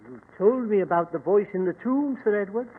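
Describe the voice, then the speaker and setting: A grave Egyptian minister, speaks formally and with quiet foreboding. His high voice comes through a thin, narrow 1940s radio recording. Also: fairly quick, a little hiss or hum.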